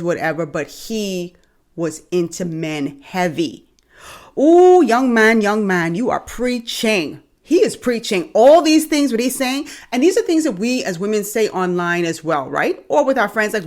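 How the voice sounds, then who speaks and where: Lively Bahamian woman podcast host. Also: medium pitch. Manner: animated, exclaiming, drawn-out emphasis. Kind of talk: reaction commentary on a clip.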